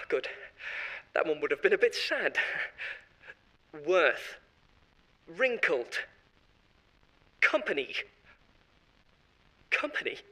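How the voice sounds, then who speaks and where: An eerie marketing executive for a horror audio drama. He rambles and confides with strange cheer in a high, bright voice. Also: big pitch swings, very slow, pauses often, heavily acted, poor audio. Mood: uneasy chatty. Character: eerie corporate marketing head, adult man, unsettling. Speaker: voice actor.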